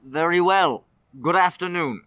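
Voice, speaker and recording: An actor playing a bitter old miser in a radio play, scornful, curt and blustering. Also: male voice, sits high, measured pace, sharp tone, clear, resonant tone, dry recording. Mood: curt, dismissive.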